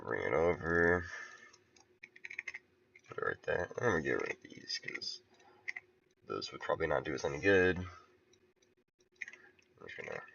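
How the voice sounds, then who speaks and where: A man casually talking through his level building on a stream, relaxed and thinking aloud with soft chuckles. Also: a bass voice, measured pace, vocal fry, long pauses, dull audio, a little hiss or hum. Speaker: game level creator streaming. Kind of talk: casual commentary while building.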